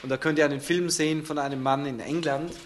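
A man's voice: on the high side, steady tone, fast, a little hiss or hum. A man interpreting a sermon live, turning each short phrase into a fuller sentence right after the speaker.

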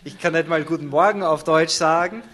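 A man's voice: fairly high pitch, a little fast, fairly bright tone. A man interpreting a sermon live, turning each short phrase into a fuller sentence right after the speaker.

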